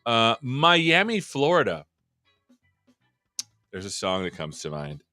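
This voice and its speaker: A man's voice: medium pitch, big pitch swings, slow, pushed and bright, no echo, faint music behind. Upbeat, joking male show host. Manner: jokey, rambling and upbeat. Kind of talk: casual live-stream chat.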